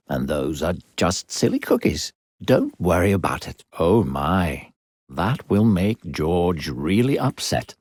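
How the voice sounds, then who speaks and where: Deep, gravelly, sinister game narrator. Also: male voice, darkish tone, no echo, clean studio sound, exaggerated voice. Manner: theatrical, condescending, coaxing. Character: smooth-talking, secretly sinister narrator guiding a little girl. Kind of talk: theatrical video game narration.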